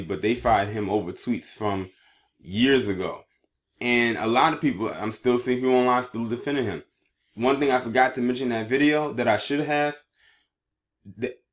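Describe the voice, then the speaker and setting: A man presenting news commentary for an online video, reading articles aloud and adding his own remarks, sometimes stumbling over words. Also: mid-range voice.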